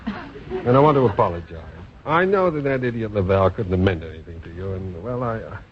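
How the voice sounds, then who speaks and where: Sheepish husband, animated, old radio. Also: male voice, lowish voice, a little fast, husky. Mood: contrite. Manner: stammering, bantering. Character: sheepish, charming estranged husband in screwball comedy. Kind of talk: 1940s radio comedy acting. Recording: muffled, noisy vintage radio recording.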